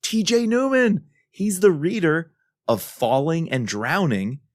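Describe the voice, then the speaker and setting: A man's voice: a little high, lively intonation, takes it slowly, no room sound, clean studio sound. A man co-hosting a podcast in a casual, enthusiastic and emphatic way. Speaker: podcast co-host.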